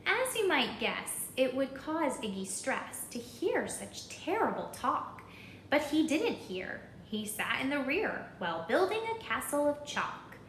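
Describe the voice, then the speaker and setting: A woman reading a children's story aloud in an expressive, deliberate, rhythmic way, pausing to put questions to listeners. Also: high voice, roomy sound, a little hiss or hum, low-quality recording. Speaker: storybook reader.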